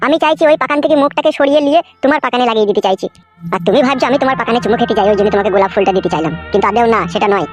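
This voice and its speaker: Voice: medium pitch, racing, over music, lightly acted. A performer voicing a crude, wheedling, lovestruck younger brother-in-law in a comic cartoon. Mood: defensive, wounded.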